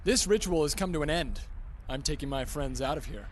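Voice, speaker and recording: A cocky adventurer hero, played by a man, in a radio drama. His voice sits a little high, and he talks in breezy, flirtatious wisecracks. Faint noise sits under the recording. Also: expressive, fairly quick, natural voice. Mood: heroic. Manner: breezy, glib, pulpy.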